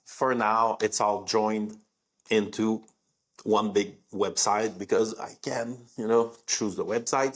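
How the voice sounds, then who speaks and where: A young man who speaks French as his first language, with a French accent, talking casually on his live podcast with many fillers. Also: lowish voice. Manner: conversational, many fillers, rising emphasis on key words. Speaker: podcast host.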